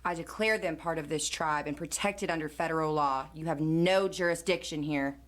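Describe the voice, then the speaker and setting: A woman playing an older, dignified grandmother who leads her tribe with measured authority in an audio drama. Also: low-pitched, natural voice. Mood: stern, commanding.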